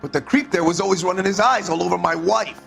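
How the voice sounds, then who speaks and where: Higher-pitched, defensive murder suspect. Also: male voice, quick pace, gravelly, a bit bright, soft background music, full character voice. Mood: sullen. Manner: defensive, blunt. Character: defensive, hot-tempered husband under police interrogation. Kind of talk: acted dramatic video game dialogue.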